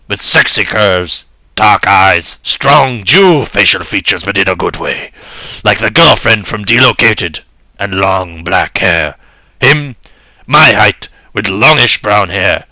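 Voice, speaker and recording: A man's voice: lowish voice, pushed and bright, no echo. A young man giving a dramatic first-person reading of a story in a mock-serious, earnest manner.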